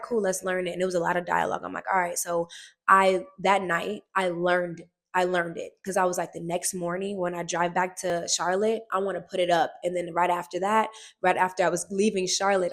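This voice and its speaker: A woman, an actress, sharing a personal testimony to the camera in a casual, animated, emphatic way, recorded on a film set. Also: lowish voice. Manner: animated, emphatic storytelling, often repeats phrases.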